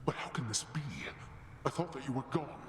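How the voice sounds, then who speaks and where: A man's voice: medium pitch, fairly quick, natural voice. An actor playing a stern, weary god-king father in a video game, grave, formal and commanding. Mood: troubled disbelief. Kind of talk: dramatic video game acting. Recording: echoey hall reverb, faint noise.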